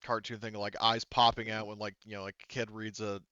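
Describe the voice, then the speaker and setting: A man narrating casually and haltingly as game master of a tabletop roleplaying game, in an informal, improvised way. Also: fairly low pitch, little pitch movement, bright, forceful tone, clear, resonant tone, no room sound, dull audio.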